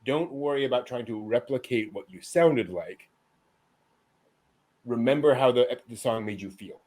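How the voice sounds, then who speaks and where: A man's voice: mid-range voice, normal speed, darkish tone. A man hosting an anime news show in casual panel chat, often chuckling as he talks.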